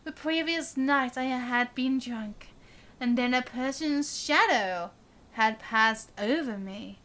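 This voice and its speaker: A woman's voice: high-pitched, slow pace, a bit bright. A woman live streaming a game, reading its dialogue aloud and adding short casual reactions.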